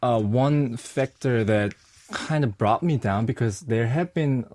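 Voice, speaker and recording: A young man answering interview questions in a soft, hesitant way, with many fillers and restarts. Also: mid-range voice, measured pace. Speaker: singer-songwriter and producer guest.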